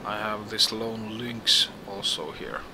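A young man from Finland with a Finnish accent, speaking English as a second language, gives halting let's play commentary, searching for words. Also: fairly low pitch. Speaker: gamer. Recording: home mic with game noise behind.

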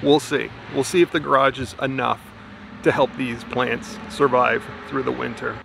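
A man's voice: medium pitch, fairly even intonation, moderate pace, gravelly, background noise. A man in his thirties talking casually to his camera outdoors in his garden, thinking aloud with mild worry. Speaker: home gardener vlogger. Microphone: camera held at arm's length, outdoors.

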